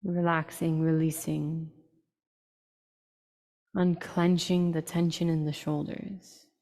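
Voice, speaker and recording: A woman leading a guided meditation in a slow, soothing style, giving unhurried instructions in short phrases with long pauses. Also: sits low, level tone, dark tone. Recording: long reverberant tail.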